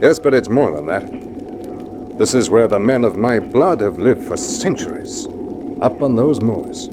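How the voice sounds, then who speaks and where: An actor playing a bold, eager baronet heir in a radio drama, hearty, emphatic and plain-spoken. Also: male voice, lowish voice, lively intonation, measured pace. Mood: awed. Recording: noisy background ambience.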